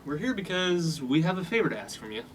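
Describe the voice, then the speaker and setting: Man as young college upperclassman, cocky, blunt, slangy, quick delivery, raised pitch, radio comedy. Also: sing-song, slight background noise. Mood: pleading. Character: cocky male college upperclassman fraternity boss with chip on shoulder. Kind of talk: 1950s-set comic radio play acting.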